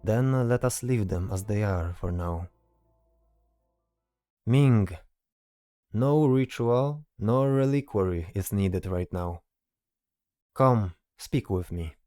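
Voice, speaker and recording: A man voicing a stoic, cryptic mage in a fantasy audio drama, calm and assured, with formal, deliberate phrasing. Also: lowish voice, no echo, studio quality, faint music behind, lightly acted. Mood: hesitant.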